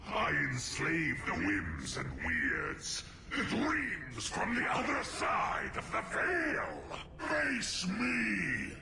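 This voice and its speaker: An actor voicing a mad, prideful ancient demon in a fantasy game, declaiming in cryptic bursts with a gravelly voice. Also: male voice, medium pitch, reverberant, full character voice. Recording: loud music under the voice.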